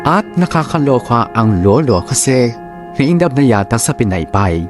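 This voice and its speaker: Male narrator, chatty slangy storytelling, lively pitch, faint music underneath. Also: normal speed. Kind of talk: scripted first-person storytelling.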